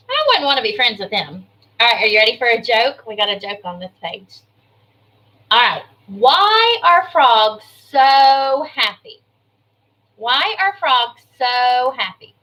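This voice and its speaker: Slow-paced woman teacher reading to young children. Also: high voice, poor audio. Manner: expressive, explaining simply to young children with questions. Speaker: kindergarten teacher. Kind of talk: read-aloud of a children's information book with chatty asides.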